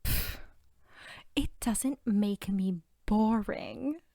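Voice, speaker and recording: A woman playing a sweet, bookish, playful archivist girlfriend in an intimate ASMR roleplay, soft-spoken and teasing. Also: mid-range voice, extremely slow, gravelly, no room sound, studio quality. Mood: playful, mock-offended. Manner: soft-spoken, often near whisper, giggly, teasing.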